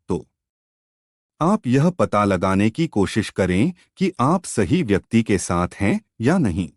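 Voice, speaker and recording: A man's voice: lowish voice, a little mellow, no echo, clean studio sound. A man reading scripted relationship stories and advice as a narrator. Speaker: story channel narrator.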